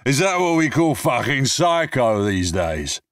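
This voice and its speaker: A man playing a loud, sloppy drunk. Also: mid-range voice, sing-song, measured pace, no room sound, voice pushed up. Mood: drunken rant. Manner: slurred, loud, cocky taunting. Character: drunk, belligerent man, swaying and shouting.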